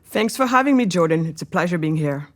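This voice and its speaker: A woman from Senegal, a guest entrepreneur telling her story in an emphatic, expressive way, with big pitch swings when she quotes. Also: quick pace. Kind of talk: animated storytelling interview.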